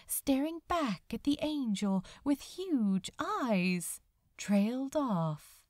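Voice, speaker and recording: Slow, lilting woman narrating a story. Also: a little high, darkish tone, dry recording, clean studio sound. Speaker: audiobook narrator. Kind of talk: expressive scripted story narration.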